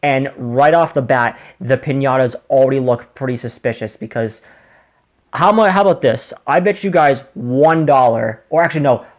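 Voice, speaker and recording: A man's voice: mid-range voice, moderate pace. A man giving a casual spoken video review in a rambling way, often stumbling over his words. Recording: noticeable background noise.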